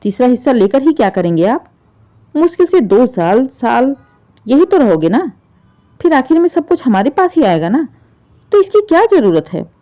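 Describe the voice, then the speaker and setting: A woman's voice: high voice, big pitch swings, normal speed, warm tone, pitched above the performer's own voice. A woman voicing a greedy daughter-in-law in a moral story, indignant and argumentative, with pointed questions. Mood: scornful, demanding. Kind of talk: dramatised Hindi moral story. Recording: dull, muffled lo-fi audio.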